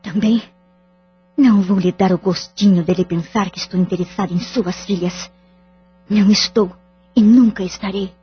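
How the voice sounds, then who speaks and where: Brief: a bitter, proud wife, very breathy and husky, cold and resentful, dull lo-fi sound with faint music. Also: female voice, medium pitch, steady tone, normal speed. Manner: cold, resentful inner monologue. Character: proud wounded wife, middle-aged, bitter and stubborn. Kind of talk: audio drama acting.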